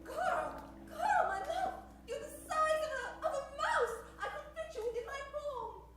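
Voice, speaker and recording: Woman playing a very high, melodramatic sci-fi heroine. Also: animated, measured pace, over music. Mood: gushing. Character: plucky, melodramatic American heroine of sci-fi serial. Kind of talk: improvised old-time radio acting. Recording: very echoey room.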